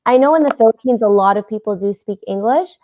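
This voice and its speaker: A woman's voice: a little high, quick pace, phone-quality sound. A young woman, a pageant titleholder, answering interview questions in an earnest, casual way with plenty of fillers. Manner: earnest, many fillers, easy laughs.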